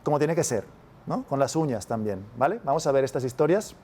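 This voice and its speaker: A man's voice: medium pitch, animated, fairly quick, a little mellow, slight background noise. A man from Spain with a Spanish accent giving a casual university masterclass, constantly checking in with his audience. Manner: casual, keeps checking with "¿vale?". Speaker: university lecturer. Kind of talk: masterclass lecture.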